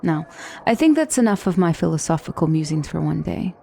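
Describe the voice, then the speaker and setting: Woman as a low, velvety demonic tutor. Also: big pitch swings, gravelly, mellow, a little hiss or hum, full character voice. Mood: warm, inviting. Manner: formal, measured, courtly phrasing. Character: poised female demonic tutor, formal, wise, gently reassuring mentor. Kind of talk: ASMR fantasy roleplay, scripted character acting.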